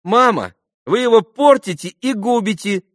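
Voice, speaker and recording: A man voicing an imperious, excitable teenage girl with a thin little voice and demanding exclamations, in an audiobook novel. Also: high voice, moderate pace, no echo, studio quality, voice pushed up. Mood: scolding. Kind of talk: audiobook classic novel dialogue.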